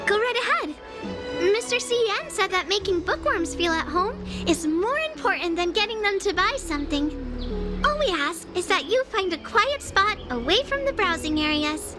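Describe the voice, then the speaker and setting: Game bookshop girl: childlike, chirpy, extremely high voice, friendly helper. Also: big pitch swings, moderate pace, over music. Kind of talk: video game character acting.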